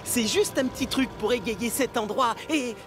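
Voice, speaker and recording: An actor voicing an eager, kind-hearted young male steam locomotive in a French cartoon dub, with an earnest, excitable manner. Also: mid-range voice, moderate pace, music in the background, natural voice. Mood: cheerful, eager.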